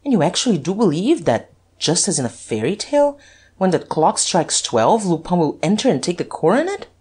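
A haughty duke from a storybook, as performed by a narrator. The intonation swoops freely, cool and mocking, with a slightly husky grain to the voice. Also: medium pitch, big pitch swings, moderate pace, a little mellow, pitched above the performer's own voice. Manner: haughty, icy, teasing.

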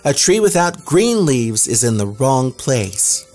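A man voicing a small, eager young male bluebird with a chirpy, excitable way of talking in a storybook. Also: medium pitch, slow pace, faint music behind, voice pushed up. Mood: blunt.

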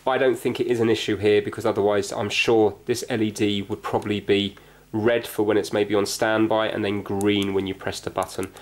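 A man's voice: lowish voice, little pitch movement, fairly quick, hardly any pauses. A man hosting a hobby repair video, chatting casually and explaining as he goes, often questioning himself. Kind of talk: casual hands-on repair chat, thinking aloud. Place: indoors at a workbench.

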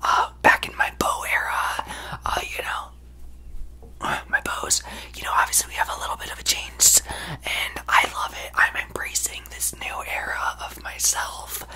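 A young man voicing a brash, self-absorbed young female pop star in a breathy, gushing ASMR whisper close to the microphone. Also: measured pace, pushed and bright, rough recording. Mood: boastful, gushing. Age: young adult. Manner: gushing, exaggerated, boastful, rambling. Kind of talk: ASMR roleplay, celebrity parody.

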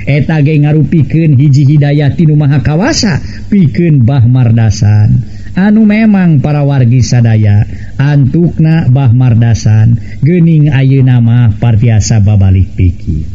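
A man's voice: fairly high pitch, dull audio. A man with a Sundanese accent narrating a folk tale and addressing his listeners as a storyteller.

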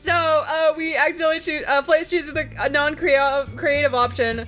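A woman's voice: high-pitched, steady tone, normal speed, a bit bright. A woman telling a comedic story of a game session, playful and profane, acting out the quotes as she goes. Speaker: storyteller, let's play host. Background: background music.

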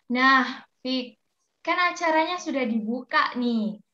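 A woman's voice: sits high, animated, takes it slowly, bright, forceful tone. A young woman co-hosting as MC, cheerful and chatty, teasing her co-host over a video call. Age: young adult. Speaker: event MC. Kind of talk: casual playful MC banter. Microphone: video call webcam. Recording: echoey, dull video call audio.

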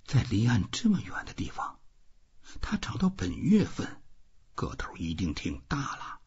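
A weathered old fisherman, as a male narrator puts him on, muses alone in a storybook reading. His voice is very husky and swoops in pitch as he murmurs to himself, with a slightly dull sound. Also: huge pitch swings, measured pace, darkish tone, whispered, exaggerated voice. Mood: hushed pleading.

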